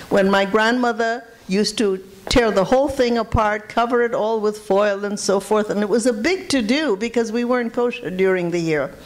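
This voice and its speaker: A woman's voice: fairly high pitch, rough recording. An older woman from Shanghai giving an informal talk of reminiscences to an audience, telling anecdotes and repeating words for emphasis. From Shanghai, China. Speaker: guest speaker at a public food history talk.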